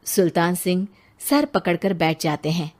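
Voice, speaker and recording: A woman's voice: lowish voice, expressive, a little slow. A woman giving scripted narration of a dramatic story.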